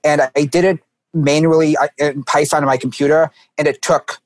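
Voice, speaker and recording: A man's voice: a little high, level tone, fairly quick, dry recording. A man chatting casually about technical research work, informal and often saying "like". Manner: informal, peppers talk with "like". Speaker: researcher and programmer on a podcast.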